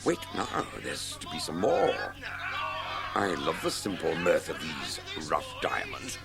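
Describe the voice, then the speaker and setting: An actor playing a smugly vengeful villain who leads a gang, theatrical and gloating, in a dramatised audiobook. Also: male voice, animated, vocal fry, loud music behind the voice, heavily acted. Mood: sardonic amusement. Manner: theatrical, gloating, deliberate, lapsing into low muttering. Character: Abner Brown, scheming villainous male gang leader, smugly vengeful.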